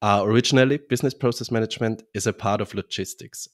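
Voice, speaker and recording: Casting: Austrian man, lowish voice, guest on a podcast, halting with restarts. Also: fairly even intonation. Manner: halting, many restarts and fillers. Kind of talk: podcast interview.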